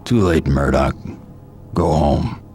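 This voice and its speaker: An actor playing a grim, curt male vigilante in a video game, terse and deadpan with a gravelly voice. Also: very deep voice, slow, faint music behind, full character voice. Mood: deadpan, dismissive. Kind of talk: video game cutscene acting.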